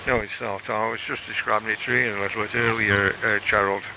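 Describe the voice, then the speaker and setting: A gravelly male radio operator chats casually over an AM shortwave link. He talks in a halting, technical ramble. The signal is dull and very noisy. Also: lowish voice, level tone. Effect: radio transmission. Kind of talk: casual ham radio chat. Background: static noise.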